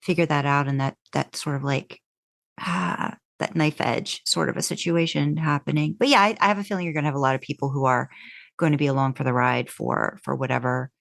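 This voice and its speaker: A woman's voice: extremely low pitch, a little fast, creaky. A woman co-hosting a podcast, chatting casually with lots of "like" and agreeing "mm-hmm"s. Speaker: podcast co-host.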